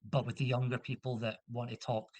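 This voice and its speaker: A man's voice: medium pitch, little pitch movement, brisk, darkish tone, no room sound. A young man from Scotland, a guest in a casual interview, talking in a rambling way with many restarts and fillers.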